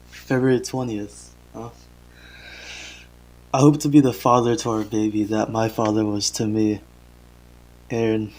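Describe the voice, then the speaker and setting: Low-voiced, halting young father played by a man. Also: darkish tone, natural voice, low-quality recording. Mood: awed, tender. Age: young adult. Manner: halting, hesitant, sighing, self-interrupting. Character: earnest young father keeping a troubled audio diary. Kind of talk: analog horror audio drama, confessional voice log.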